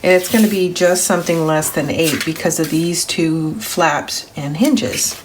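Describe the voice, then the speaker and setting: A middle-aged woman, a little gravelly from grogginess, hosting a papercraft tutorial in a chatty, step-by-step way. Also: on the low side, noisy background. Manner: chatty, step-by-step, self-correcting. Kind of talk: crafting tutorial voice-over.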